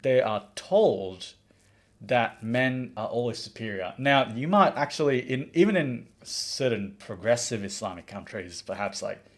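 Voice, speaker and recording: A man's voice: mid-range voice, expressive, a little slow. A man from the Maldives hosting a livestream, giving earnest opinionated commentary and reading out viewer comments, with frequent restarts. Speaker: livestream host.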